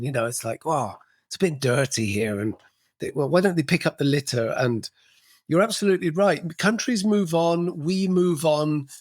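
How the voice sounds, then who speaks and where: A man's voice: on the high side, no echo, studio quality. A middle-aged man, talking reflectively as a podcast guest with frequent restarts. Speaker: podcast guest, cultural coach. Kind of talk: casual interview conversation.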